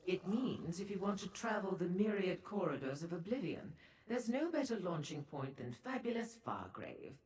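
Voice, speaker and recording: Echo-drenched, low-voiced seductive demon merchant. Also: female voice. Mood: amused, alluring. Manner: theatrical, coy, languid purring delivery with ornate formal phrasing. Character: seductive female dark seducer Daedra merchant, worldly and condescending. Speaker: information broker and proprietor. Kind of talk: video game character dialogue. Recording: echoey hall-like reverb on the voice.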